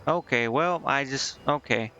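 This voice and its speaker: A man's voice: mid-range voice, measured pace, pushed and bright. Muffled, hesitant man streaming a game. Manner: hesitant, stumbles over words while reading. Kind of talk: casual livestream commentary, reading game dialogue aloud. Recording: slightly muffled, music behind. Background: game music.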